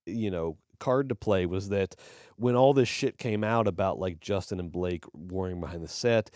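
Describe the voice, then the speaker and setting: Rambling, profane man with a low voice. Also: moderate pace, darkish tone, no echo, clean studio sound. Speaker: podcast co-host. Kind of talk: casual movie review chat.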